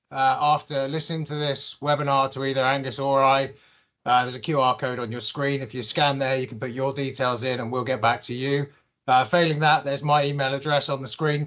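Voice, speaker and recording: A man's voice: mid-range voice, a bit bright. A man co-hosting a webinar, friendly and practical, explaining the setup and leading an interview. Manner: brisk, friendly, practical with fillers. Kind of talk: presenting and interviewing.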